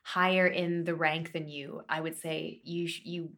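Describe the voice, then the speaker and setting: A woman's voice: low-pitched, level tone, measured pace, a bit bright. A woman in her early thirties co-hosting a podcast, talking casually and rambling with many hesitations and fillers. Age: 30s. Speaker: podcast co-host. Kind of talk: casual chat.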